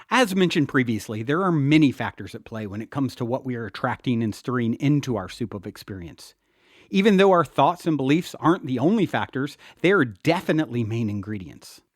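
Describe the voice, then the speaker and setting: A man reading his own self-help audiobook in an earnest, deliberate, instructional way. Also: medium pitch, no room sound, clean studio sound.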